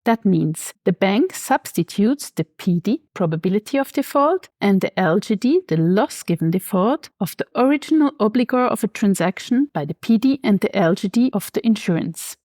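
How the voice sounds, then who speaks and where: A woman from Switzerland, a banker being interviewed, explaining finance in a measured, precise way. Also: medium pitch, expressive, a little mellow, no echo, studio quality.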